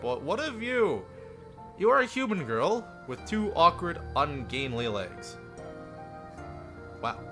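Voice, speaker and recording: A man live-streaming a visual novel, reading it aloud theatrically with playful asides. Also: mid-range voice. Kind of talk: dramatic read-aloud narration with joking asides. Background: music.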